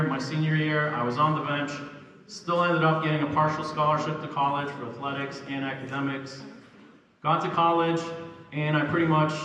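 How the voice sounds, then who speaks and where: A man's voice: a little high, muffled recording. A young man, born in Poland and raised in Canada, giving a self-deprecating banquet speech as a freshman basketball coach. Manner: self-deprecating, chuckling asides. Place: large echoey hall. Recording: echoey, distant hall recording with background noise.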